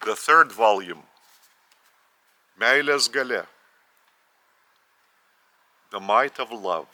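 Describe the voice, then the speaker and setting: A man with a Lithuanian accent, whose first language is Lithuanian, giving a spiritual teaching in a measured way, often repeating and restarting phrases. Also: fairly low pitch, expressive, pushed and bright. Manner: measured, with repetitions and careful restarts. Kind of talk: slow spoken teaching.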